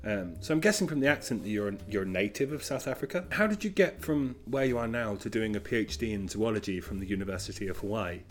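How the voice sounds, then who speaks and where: A man's voice: lowish voice, lively intonation, fast talker, few pauses, faint music behind. A man hosting a podcast, reading an upbeat intro and asking curious follow-up questions. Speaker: podcast host. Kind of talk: scripted intro and casual interview questions.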